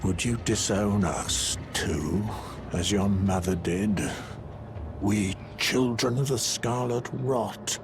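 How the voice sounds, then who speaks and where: Slow, mournful, sinister father figure. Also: fairly low pitch, background noise. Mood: anguished reproach. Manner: slow, lamenting, theatrical. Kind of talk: dramatic video game dialogue.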